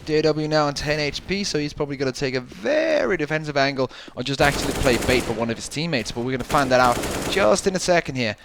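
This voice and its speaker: A man doing color commentary on a competitive shooter match, getting loud and high at big plays. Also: fast, few pauses, a little hiss or hum. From Denmark. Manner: excitable, rises sharply in pitch at big plays. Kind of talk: esports commentary.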